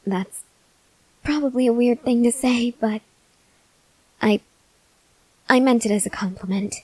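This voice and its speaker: A woman playing a gentle, awkward, hungry female vampire in an ASMR roleplay, speaking softly and hesitantly with breathy near-whispers and tender reassurance. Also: on the high side, measured pace, no echo, low-quality recording. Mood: awkward, soothing. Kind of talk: ASMR roleplay, intimate address to the listener.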